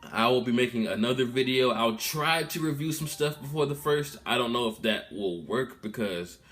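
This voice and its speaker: A man's voice: medium pitch, moderate pace. A man in his twenties talking casually to his viewers in a candid, rambling vlog update, recorded in a room at his mother's house. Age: 20s. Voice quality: loud voice. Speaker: vlogger.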